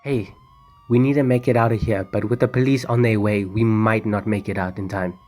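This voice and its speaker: A man's voice: on the low side, steady tone, fairly quick, a little mellow, close to an everyday voice. A young man acting a commanding, impatient armed bank robber in an amateur radio drama. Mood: irritated, urgent. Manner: commanding, curt. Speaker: student actor.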